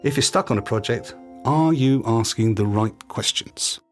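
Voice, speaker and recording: A man's voice: mid-range voice, normal speed, soft background music. A British man hosting a podcast, delivering a scripted monologue with practical tips. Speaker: podcast host.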